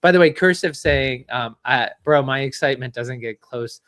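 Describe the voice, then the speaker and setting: A man hosting a casual chat, asking long rambling questions and giving shout-outs. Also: medium pitch, normal speed, no echo. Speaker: show host.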